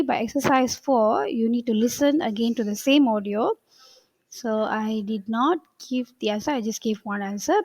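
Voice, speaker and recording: A woman's voice: fairly high pitch, darkish tone, dry recording. A woman English teacher going over workbook answers in a patient, step-by-step way, often saying "okay" between points.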